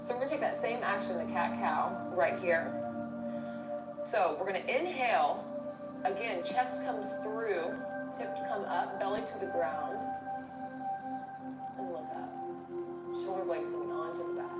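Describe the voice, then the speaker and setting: A woman's voice: mid-range voice, expressive, a little slow, pauses often. A woman leading a guided yoga class, giving step-by-step cues for breath and movement in short phrases. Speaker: yoga instructor. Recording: roomy distant mic under loud music.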